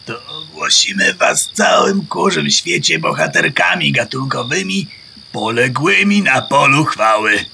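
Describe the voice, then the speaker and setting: A man's voice: on the high side, big pitch swings, a little slow, gravelly, pushed and bright, slight background noise, heavily acted. A man voicing a vain, pompous, self-assured rooster in a children's audio play. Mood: grandiose. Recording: faint outdoor cricket ambience.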